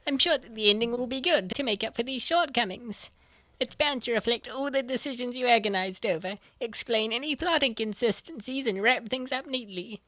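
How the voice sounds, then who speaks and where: A woman's voice: on the high side, brisk, a bit bright, dry recording, close to an everyday voice. A woman playing a gamer's reassuring, matter-of-fact friend in a scripted comic dub.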